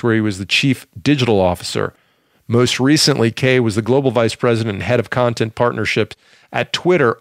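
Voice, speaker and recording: A man's voice: medium pitch, dry recording, clean studio sound. A man with a Southern US accent speaking as a podcast host, presenting and interviewing. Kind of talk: scripted introduction and interview questions.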